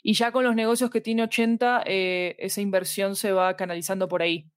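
A woman's voice: mid-range voice, steady tone, brisk, no room sound, studio quality. A woman explains her work conversationally in an interview, reflectively searching for precise words. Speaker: podcast fiction producer, interview guest.

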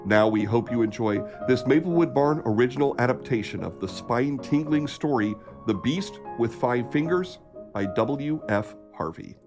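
A man's voice: mid-range voice, lively intonation, moderate pace. A man hosting a radio theatre programme, reading a scripted introduction. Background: music.

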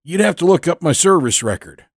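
A man voicing a genial, boastful Navy veteran in his eighties for an audio storybook, folksy and orating, with drawn-out emphatic phrases. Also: on the high side, very animated, breathy edge, no echo, studio quality, pitched above the performer's own voice, full character voice. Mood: genial, pleading. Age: 80s.

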